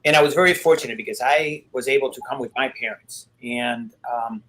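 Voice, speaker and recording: A man from Cuba speaks on a video call panel in an ordinary mid-pitched voice. He talks earnestly and personally, with some restarts. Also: fairly quick, a bit bright, poor audio.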